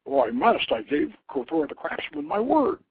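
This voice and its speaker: Man doing a confident young storybook prince, emphatic, raised lively pitch, thin phone-line audio. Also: moderate pace, vocal fry, breathy edge, no echo, full character voice. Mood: eager. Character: bold, cocky young male prince, confident hunter. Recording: tinny phone-line internet radio.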